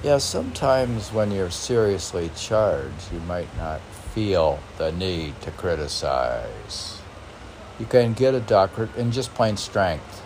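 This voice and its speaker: A man reading poetry and commenting on it informally in a halting, musing, self-questioning manner. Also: low-pitched, expressive, a little mellow, a little hiss or hum. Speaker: poet reading and commenting on own poems.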